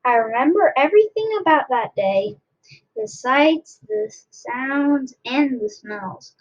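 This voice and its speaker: A woman reading a children's book aloud expressively, in a deliberate way with dramatic pauses. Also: sits high, big pitch swings, lo-fi sound. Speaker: read-aloud narrator.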